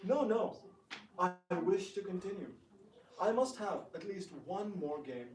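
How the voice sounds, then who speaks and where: A man acts out a dignified divine prince who is quick to take offence. His voice is high, his speech formal and firm. It is a dramatic reading in an echoey room, heard some way from the microphone. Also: lively intonation, far from the mic, noisy background. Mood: indignant.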